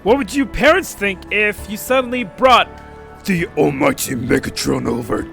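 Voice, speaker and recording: Man doing a gravelly, forceful teenage anime hero. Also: high-pitched, lively intonation, moderate pace, soft background music, pitched above the performer's own voice, exaggerated voice. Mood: awkward, surprised. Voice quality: gravelly, throat-straining. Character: teenage boy hero nicknamed after a robot villain.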